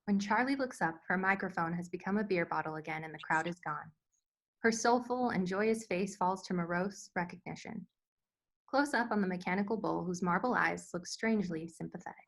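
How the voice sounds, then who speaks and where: A woman's voice: fairly low pitch, normal speed, fairly bright tone. A woman reading screenplay stage directions as scripted narration for a table read.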